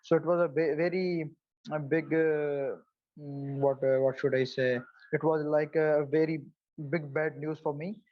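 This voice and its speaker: A man in his twenties from Pakistan, speaking as a guest on a video call, halting, with many fillers and restarts. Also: a little high, little pitch movement, dry recording, muffled recording. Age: 20s. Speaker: guest, psoriasis advocate. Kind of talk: casual interview answers.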